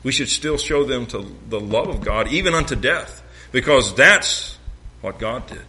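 A man's voice: medium pitch, expressive, a little slow, sharp tone. A man from the American South with a Southern accent, preaching a sermon with folksy jokes and mimicked sounds.

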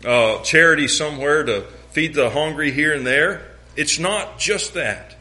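A man's voice: mid-range voice, bright, forceful tone. A man from the American South with a Southern accent, preaching a sermon with folksy jokes and mimicked sounds.

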